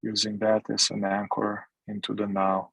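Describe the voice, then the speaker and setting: Male meditation guide, husky and very even in pitch, gentle phrasing with long pauses. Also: no room sound, poor audio. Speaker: meditation teacher. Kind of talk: guided meditation, mindfulness instruction.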